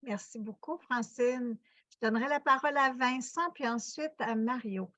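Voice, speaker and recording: A woman's voice: on the high side, moderate pace, a bit bright. A woman moderating an online conference, handing the floor to participants.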